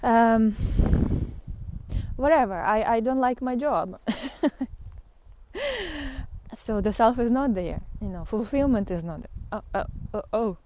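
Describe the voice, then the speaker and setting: A woman giving an earnest, unscripted spiritual talk as a teacher, speaking haltingly with pauses and repetitions. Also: a little high, darkish tone. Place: outdoors in the wind. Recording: windy outdoor recording with clear noise.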